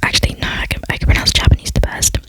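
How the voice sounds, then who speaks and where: Whispering young adult, casual ASMR-style ramble. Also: very fast. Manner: whispering throughout, rambling and self-deprecating.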